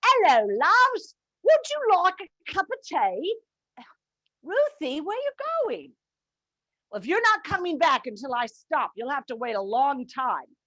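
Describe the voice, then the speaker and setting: A woman's voice: high-pitched, big pitch swings, unhurried, sharp tone, no echo, heavily acted, rough recording. A woman voicing a vulgar, cruel young Cockney waitress who speaks in a sneering, spiteful way. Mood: chirpy.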